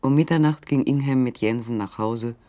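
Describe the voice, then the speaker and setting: An actor narrating a literary German radio play as a sober, measured third-person storyteller. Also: male voice, medium pitch, mellow, lightly acted.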